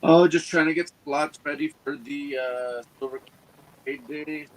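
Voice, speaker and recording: A man's voice: a little high, steady tone, unhurried, a little hiss or hum, low-quality recording. A man from Ontario, Canada, calling in as a guest. He is congested and losing his voice, and he talks in a friendly, laid-back way. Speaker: guest caller.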